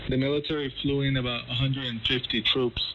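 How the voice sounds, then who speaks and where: A man's voice: mid-range voice, flat delivery, a little fast, bright, forceful tone. Male journalist explaining news over noisy shortwave. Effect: shortwave radio. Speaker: journalist interviewed as correspondent. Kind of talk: explanatory live news interview. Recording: faintly noisy shortwave radio broadcast.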